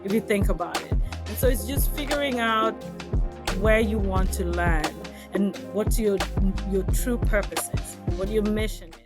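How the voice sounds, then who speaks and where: A woman's voice: medium pitch, a little slow, loud music behind the voice. A middle-aged woman, born and raised in Kenya, speaking candidly and reflectively as an interview guest on a podcast. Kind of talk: interview conversation.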